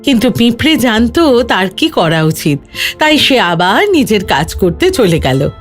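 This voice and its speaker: A woman reading a scripted children's story as narrator, with an expressive storytelling delivery. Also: on the high side, a little slow, faint music behind.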